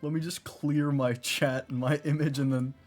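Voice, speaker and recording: A man's voice: fairly high pitch, steady tone, moderate pace, a little mellow, soft background music. A young man giving casual live commentary as he streams a video game.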